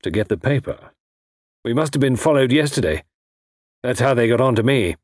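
A man's voice: medium pitch, animated, moderate pace, no room sound, clean studio sound, voice pushed up, lightly acted. A man voicing a protective, earnest ex-soldier hero in an audiobook adventure, speaking in a stout-hearted, clipped way. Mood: rueful. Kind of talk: audiobook character voice.

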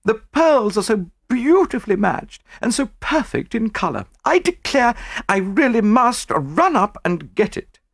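A man voicing a gushing, chatty wealthy middle-aged woman in a high-pitched manner, in an audiobook. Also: big pitch swings, a little slow, creaky, a bit bright, dry recording, clean studio sound, exaggerated voice. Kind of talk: audiobook character voice.

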